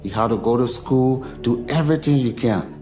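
A man's voice: medium pitch, moderate pace, a little mellow, faint music behind. A middle-aged man, speaking earnestly as a father in a documentary interview. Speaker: father of an athlete.

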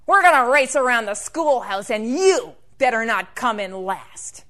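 A loud schoolboy bully, played by a woman storyteller, bossy and domineering. He barks his orders and stretches out his taunts in a high, slow storybook voice. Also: sing-song, heavily acted. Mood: bossy, threatening. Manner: loud, barking, drawn-out taunts.

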